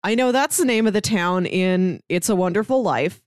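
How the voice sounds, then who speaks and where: A woman's voice: on the low side, animated, normal speed, smooth, dry recording, studio quality. A woman co-hosting a podcast, talking in a casual, conversational way about a book. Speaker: podcast co-host. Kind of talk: casual book discussion chat.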